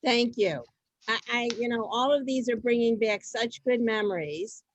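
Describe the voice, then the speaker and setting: A woman informally hosting a group reading, introducing people in a halting, casual way. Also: on the high side, highs cut off. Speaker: host introducing each reader. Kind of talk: informal event moderating.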